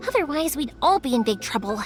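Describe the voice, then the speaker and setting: Very high, lively anime young woman. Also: fast. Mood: confiding. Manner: chatty, expressive, girlish. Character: bright, friendly, energetic young woman, a fireworks maker. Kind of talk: anime game voice acting. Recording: music behind the voice.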